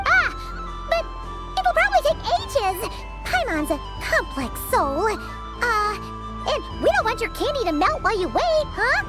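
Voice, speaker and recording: A woman voicing a tiny, cheeky, excitable childlike fairy companion in a video game, squeaky and exclamatory. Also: a little slow, loud music behind the voice, exaggerated voice. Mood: nervous coaxing. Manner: exclamatory, refers to self in third person. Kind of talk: animated video game voice acting.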